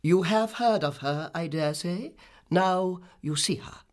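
A man's voice: sits high, takes it slowly, voice pushed up. A man voicing an imperious, brusque older woman, a commanding storybook aunt who speaks abruptly and emphatically.

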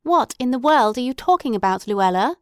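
A woman voicing an impatient, disparaging middle-aged mother who talks briskly and fussily. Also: a little high, sing-song, no room sound, studio quality, voice pushed up.

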